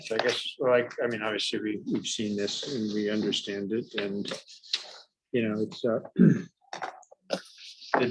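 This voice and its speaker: A man chairing a review board meeting, speaking in halting, restarted phrases. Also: lowish voice, husky, rough recording.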